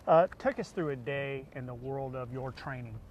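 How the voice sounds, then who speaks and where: A man's voice: medium pitch, normal speed, slight background noise. An American man hosting a casual interview in an informal, chatty way. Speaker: interview show host.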